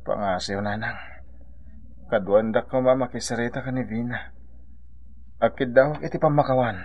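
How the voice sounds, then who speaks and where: A man's voice: mid-range voice, steady tone, normal speed, dull audio, natural voice. A man with an Ilocano accent playing an emotional, grunting, hot-tempered husband in a radio drama. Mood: weak remorse. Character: hot-headed drinking husband and father, later remorseful.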